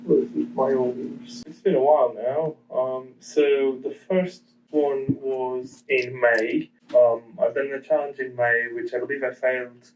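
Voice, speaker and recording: Hesitant man on a dull, distant mic. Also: mid-range voice. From Portugal. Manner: hesitant, many ums and restarts. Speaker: guest trader. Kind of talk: casual interview answers.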